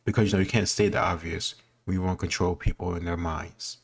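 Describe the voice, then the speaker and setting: A man's voice: low voice, fairly even intonation, fast talker, vocal fry, slightly rough. A man speaking informally as a host, reacting casually and thinking aloud as he answers quiz questions.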